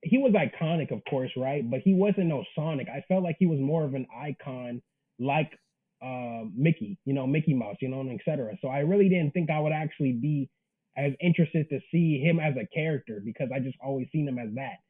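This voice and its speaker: A man's voice: medium pitch, brisk, husky. A man in his early twenties chatting casually as an interview guest, earnest, emphatic and slangy, over tinny call audio. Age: early 20s. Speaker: interview guest, manga creator. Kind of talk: casual chat.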